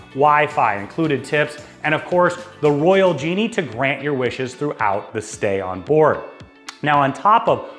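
A man's voice: on the high side, fairly bright tone. A man in his forties hosting a travel show, talking to camera in an upbeat, salesy way with personal asides, on a lapel mic in a studio. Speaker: travel agency host. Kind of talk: enthusiastic cruise overview to camera. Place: dark studio backdrop, indoors. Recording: faint background music.

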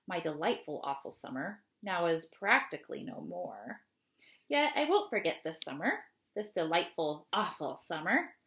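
A woman in her thirties reading children's poems aloud in an expressive, deliberate way, with chatty asides. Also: lowish voice. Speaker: read-aloud host. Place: small home room, indoors.

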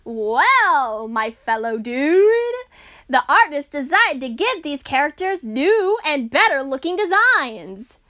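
Female host for casual online video narration, high and very expressive. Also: slow pace.

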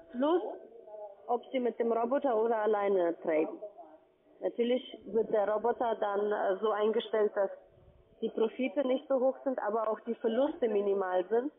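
A woman with a fairly high voice works as a telephone sales caller. She delivers her pitch over a thin, narrow-band phone line.

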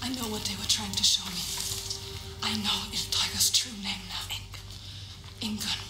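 A woman's voice: mid-range voice, steady tone, normal speed, natural voice. A woman playing a haunted young warrior in a video game, speaking with earnest, resolute intensity. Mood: troubled, earnest. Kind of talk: dramatic video game voice acting. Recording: echoey, loud music underneath. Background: loud music.

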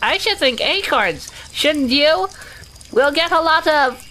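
A woman voicing a small, timid, eager young pig in an audio drama, fussy and quick to chime in. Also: high-pitched, lively intonation, pushed and bright, exaggerated voice.

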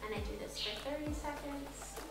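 A young woman vlogger calmly explaining horse stretches as she works with the horse in an indoor barn stall. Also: high voice, normal speed. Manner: calm, gentle and instructive while stretching a horse. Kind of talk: explaining while working. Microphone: far camera. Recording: echoey barn with distant mic and music.

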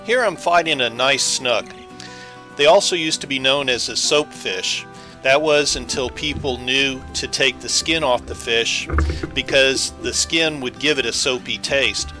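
A man's voice: mid-range voice, measured pace, bright, forceful tone, faint music behind. A man giving scripted voice-over narration as an angler recounting his fishing trip.

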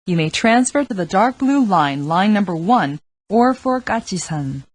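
A woman reading scripted subway stop and transfer announcements, formal and deliberate. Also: mid-range voice, sing-song, a little hiss or hum.

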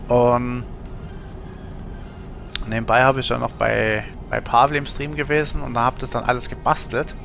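Male streamer, thirties, forceful voice with plenty of fry, rambling and colloquial game chat. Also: mid-range voice, flat delivery, normal speed, pauses often, faint music behind. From Germany. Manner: rambling, colloquial, thinking aloud. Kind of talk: casual livestream chat while gaming.

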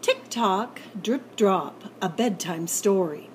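Lady reading a kids picture book, slow n very singsongy up and down, playful, bit noisy room. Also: mid-range voice. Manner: animated, playful, drawn-out sound words. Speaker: library story time reader. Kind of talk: expressive picture-book read-aloud for children.

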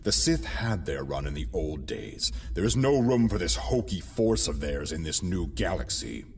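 A man playing a scheming imperial governor in a sci-fi audio drama, formal and measured with an oily courtesy. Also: low-pitched, very animated, vocal fry, a little mellow, breathy edge, full character voice. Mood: smug, commanding. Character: scheming imperial governor, outwardly deferential, secretly mutinous. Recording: film music behind the voice.

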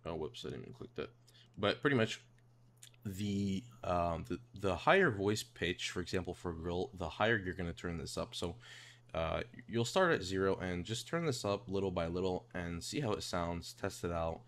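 A man narrating a casual software tutorial in a hesitant, rambling way, repeating himself. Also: sits low, moderate pace. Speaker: tutorial presenter. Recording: home mic with clear background noise.

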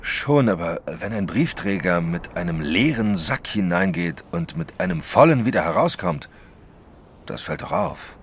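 Detective's sidekick for audio drama: gravelly, sceptical and impatient, with highly animated swings in pitch. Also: male voice, a little slow, slight background noise, exaggerated voice. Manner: excitable, questioning, sceptical. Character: impatient, baffled male detective's companion. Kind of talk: German audio drama dialogue.

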